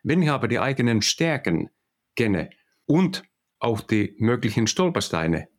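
A man's voice: mid-range voice, big pitch swings, measured pace. A middle-aged man from Finland with a Finnish accent, answering interview questions hesitantly with many fillers. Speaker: guest, managing director.

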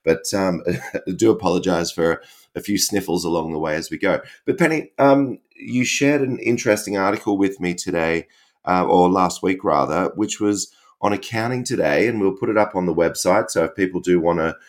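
A man co-hosting a casual podcast, congested and stuffy-nosed, chatting in a relaxed way with frequent fillers. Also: sits low, moderate pace. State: congested, recovering from illness. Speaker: podcast co-host.

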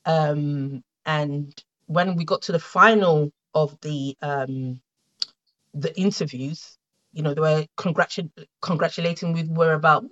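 Nigerian woman telling her story, halting. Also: deep voice, a bit bright, muffled recording. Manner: reflective, halting, many fillers and restarts. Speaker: guest. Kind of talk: conversational interview.

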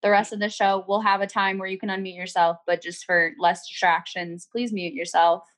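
A woman's voice: medium pitch, level tone, normal speed, fairly bright tone. A woman moderating a panel on a video call, asking her questions at length.

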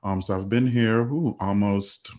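A man in his thirties from the Virgin Islands, speaking as a guest in a podcast interview in a measured, earnest way with frequent fillers. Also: on the low side, steady tone, darkish tone. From United States Virgin Islands. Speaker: guest, health policy analyst.

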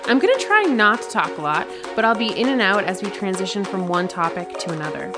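A woman's voice: mid-range voice, sing-song, brisk. Woman, podcast host, friendly and plainspoken, music underneath. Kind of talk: scripted podcast intro and narration.

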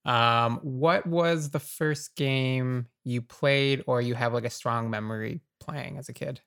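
A man co-hosting a podcast in casual chat, asking questions slowly and in a laid-back way. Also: mid-range voice, fairly even intonation, a bit bright, clear, resonant tone. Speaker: podcast co-host.

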